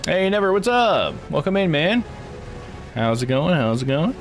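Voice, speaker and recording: A man's voice: on the high side, sing-song, moderate pace. A man live-streaming a visual novel, reading its story aloud and chatting casually with viewers. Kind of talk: visual novel playthrough, narration and casual chat. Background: game music.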